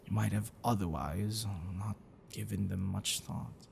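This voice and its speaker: A man playing a gentle, bookish half-dragon boyfriend in an intimate ASMR roleplay, affectionate and apologetic, speaking haltingly and softly. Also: fairly low pitch, creaky, a little hiss or hum. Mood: concerned. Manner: halting, soft chuckles and sighs, dropping to whispers.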